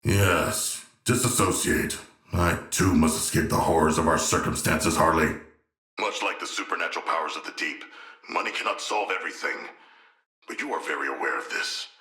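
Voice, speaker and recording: A huge humanoid shark from a video game, with a very low, hard-edged male voice in an echoing space. He is a proud but gentle scholar of a villain, choosing formal words and delivering them deadpan. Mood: dry, aloof. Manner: formal, eloquent, measured, deadpan diction. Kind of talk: video game character voice lines.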